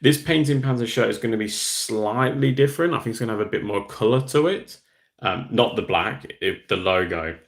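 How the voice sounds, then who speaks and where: Deep gravelly man chatting live. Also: a little fast. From Australia. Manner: rambling, reads out viewer comments. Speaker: hobby channel host. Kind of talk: casual livestream chat.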